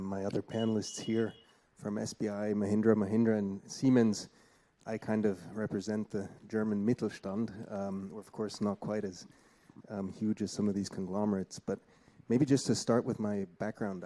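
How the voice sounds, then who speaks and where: A man from Switzerland speaking as a panelist on a conference panel, measured and with frequent ums. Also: fairly low pitch, mellow.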